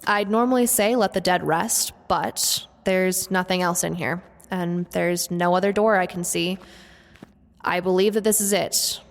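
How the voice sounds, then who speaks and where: Wry female cleric, hesitant, slow, gravelly fry, fantasy audio drama. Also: fairly low pitch. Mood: musing. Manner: hesitant, musing, understated. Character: wry, cautious woman cleric adventurer. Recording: music underneath.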